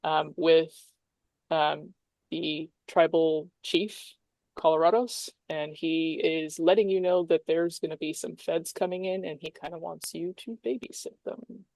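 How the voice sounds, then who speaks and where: A woman game master narrating a tabletop roleplaying game in a halting, informal, explanatory way. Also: deep voice, fairly even intonation, vocal fry, a little mellow, dry recording, poor audio.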